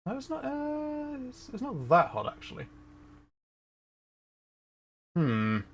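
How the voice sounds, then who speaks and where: A man's voice: very high voice, wildly swooping pitch, normal speed, a bit bright. A man hosting a gameplay livestream with casual, chatty, self-deprecating commentary. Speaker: livestream host.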